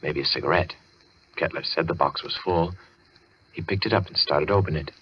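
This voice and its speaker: A man playing an ominous storyteller, narrating a radio suspense tale in a deliberate, wry manner. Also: very deep voice, husky. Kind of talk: dramatic old-time radio narration. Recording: muffled, noisy vintage radio recording.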